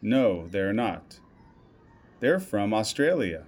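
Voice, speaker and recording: A man reading out a school listening test, saying each word and line slowly and deliberately, with every item given twice. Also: on the low side, very animated, darkish tone, breathy edge. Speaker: test reader.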